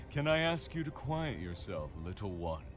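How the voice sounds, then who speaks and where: A man's voice: lowish voice, sing-song, slow pace. A man voicing a menacing fae captor in a visual novel game, teasing and mock-polite. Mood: amused, taunting. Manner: mocking politeness, teasing drawl. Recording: game audio under loud music.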